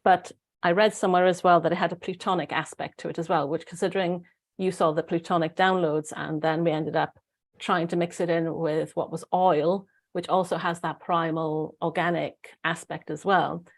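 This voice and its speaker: A woman's voice: on the low side, fairly even intonation, moderate pace. A woman with a Welsh accent hosting a casual spiritual group discussion in an informal, rambling way. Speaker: host.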